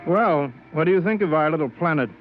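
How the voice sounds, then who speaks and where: A man's voice: a little high, expressive, brisk, close to an everyday voice. An actor playing a falsely friendly, grandiose male blackmailer in 1950s radio drama style, insinuating and commanding. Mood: smugly commanding. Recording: dull, noisy vintage radio recording.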